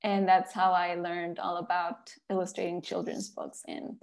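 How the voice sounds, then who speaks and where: Female author, Mexican accent, native Spanish speaker, gravelly fry in the voice, conversational interview delivery. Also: lowish voice, flat delivery, moderate pace.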